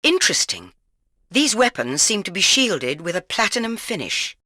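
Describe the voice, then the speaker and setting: A stern, authoritative British spy chief in a video game. The delivery is formal and commanding, with a forceful edge and animated pitch movement. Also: female voice, moderate pace. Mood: stern, composed. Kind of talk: video game mission briefing.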